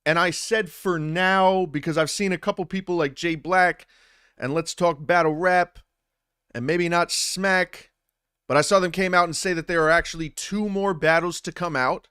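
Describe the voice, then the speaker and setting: A man talking loosely to his live chat, restarting sentences and tossing in "man" and "bro" as he goes. His voice sits a touch higher than most men's. It comes through a close studio mic at home, dry with no room sound. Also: fairly even intonation, moderate pace, pushed and bright, clear, resonant tone, dry recording. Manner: loose, rambling, restarts often, says "man" and "bro" a lot. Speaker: streamer and battle rap commentator. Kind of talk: casual livestream chat. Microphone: studio dynamic mic through audio interface.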